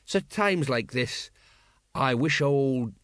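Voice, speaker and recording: A man's voice: sits high, lively intonation, normal speed, dry recording, clean studio sound, voice pushed up. A man voicing an older male city watch sergeant who talks sadly and rambles through stories. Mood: wistful. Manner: sad, rambling, tells stories.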